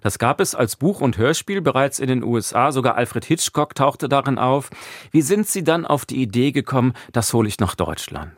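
A man's voice: medium pitch, lively intonation, normal speed, dry recording, clean studio sound. A man hosting a radio talk, putting structured questions and reading short introductions. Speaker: radio talk show host. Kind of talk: interview questions and scripted introductions.

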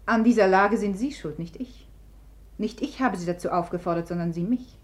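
A woman's voice: mid-range voice, normal speed, natural voice. An actress playing a cool, proud, mocking woman facing a rival in a classic radio drama, restrained and ironic.

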